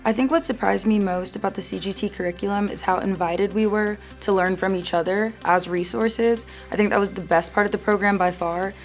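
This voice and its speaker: A woman's voice: mid-range voice, fairly even intonation, a little fast, hardly any pauses, faint music behind. A young woman in her twenties, a graduate student speaking casually and reflectively in an upbeat interview testimonial. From United States.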